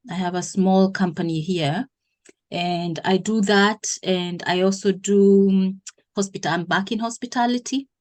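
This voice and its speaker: A woman from Kenya in her thirties, a guest in an interview, who explains things at length with pauses and self-corrections. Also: medium pitch, darkish tone. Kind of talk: interview conversation.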